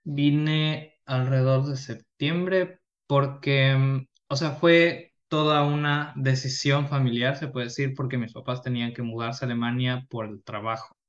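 A young man answers interview questions over a remote link. He speaks in a relaxed way, with frequent pauses and fillers. The line sounds dull and muffled. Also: medium pitch. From Ecuador, Quito. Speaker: interview guest. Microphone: remote call.

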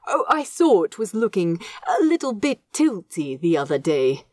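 A prim, kindly gentleman angel from a storybook, played by a woman, fond and a little fussy. He speaks gently and earnestly, with big melodic swings in a dry, close recording. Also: mid-range voice, very animated, normal speed, dry recording. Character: kindly, prim, cheerful male angel bookseller, fondly fussy.

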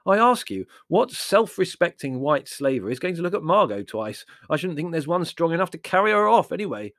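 A man's voice: mid-range voice, big pitch swings, a little fast, gravelly, dry recording, studio quality, voice pushed up. A man voicing a witty, dismissive young man in a storybook reading, airy, mocking and irritable. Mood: exasperated.

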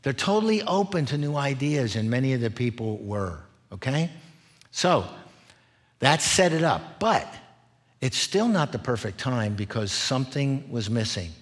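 Male preacher, middle-aged, mid-pitched voice, explanatory teaching delivery with frequent check-in questions. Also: very animated, measured pace. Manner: explanatory, often checks in with "right?" and "okay?". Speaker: preacher, former missionary. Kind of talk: expository sermon, teaching in numbered points.

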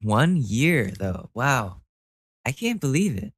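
A man's voice: medium pitch, big pitch swings, takes it slowly, slightly rough, no echo. A young man hosting a solo podcast, talking casually with a stuffy nose, rambling and joking at his own expense with the odd swear word. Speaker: podcast host.

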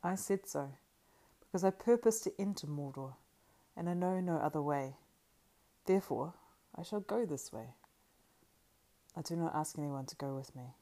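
A woman reading the lines of a weary but resolute young male hobbit, firm and quiet. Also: low-pitched, expressive, moderate pace, pauses often.

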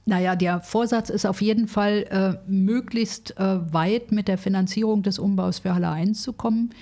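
A woman's voice: medium pitch, steady tone, normal speed, warm tone. A woman in her sixties from Berlin, chatting frankly as an interview guest, with many fillers and restarts. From Berlin, grew up in West Berlin. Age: 60s. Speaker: food bank manager, guest. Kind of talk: casual interview conversation.

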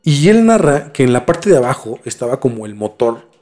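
A man with a Mexican accent in a casual, colloquial podcast conversation, explaining a story in a halting, conversational way. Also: mid-range voice, big pitch swings, dark tone. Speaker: podcast host telling a case. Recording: music under the voice. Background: background music.

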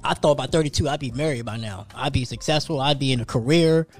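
A man's voice: mid-range voice, fast, faint music behind. A man in his thirties talking casually and profanely on a podcast, telling stories about work and his goals. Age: 30s. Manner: loose, profane, storytelling. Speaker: podcast host.

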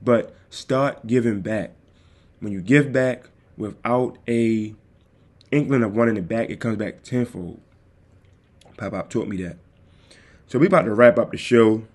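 A man hosting his own podcast, talking casually and directly to his listeners in a calm, soothing voice into a desktop microphone. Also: on the low side, measured pace, pauses often. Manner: easygoing, addresses listeners directly. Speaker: podcast host. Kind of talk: casual solo podcast talk. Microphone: desktop USB microphone.

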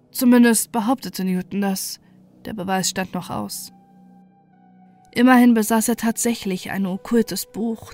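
A woman's voice: fairly high pitch, darkish tone. A woman reading a scripted first-person horror story as narrator, in a sober and controlled manner.

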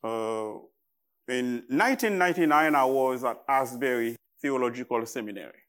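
A man with a Haitian accent preaching as a guest in church, speaking in short, deliberate phrases with halting pauses. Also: medium pitch, a bit bright. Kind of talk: sermon to a church congregation.

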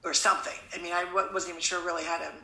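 A woman's voice: fairly low pitch, little pitch movement, very fast, vocal fry, pushed and bright, echoey room, low-quality recording. A woman in her fifties from Connecticut, talking earnestly in a casual conversation, rambling and often restarting her sentences. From Connecticut, USA.

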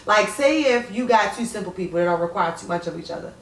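Twenties woman, chatty and expressive, roomy sound, away from mic. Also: mid-range voice, fast, far from the mic. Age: 20s. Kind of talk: casual group chat.

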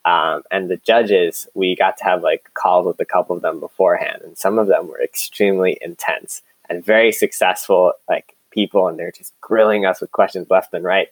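A man's voice: sits low, steady tone, normal speed, few pauses, dry recording, poor audio. A man speaking casually and informally as a startup co-founder being interviewed, telling stories in a conversational way.

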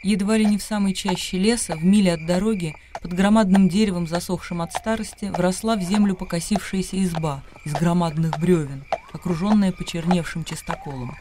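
A man's voice: high-pitched, steady tone, a little fast, few pauses, background noise. A man reading scripted literary narration as the narrator of a radio play. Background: music.

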